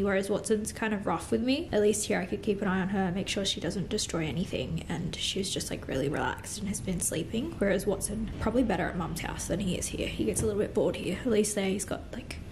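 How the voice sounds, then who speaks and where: A woman's voice: medium pitch, a little mellow. Aussie girl in her 20s, blocked up nose, rambling casual vlog into her phone at home, little bit of room hiss. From Australia. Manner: chatty, frank, lots of "like" fillers. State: congested, stuffy nose. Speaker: vlogger. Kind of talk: casual vlog chat to camera. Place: home living room and kitchen, indoors. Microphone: phone camera at arm's length.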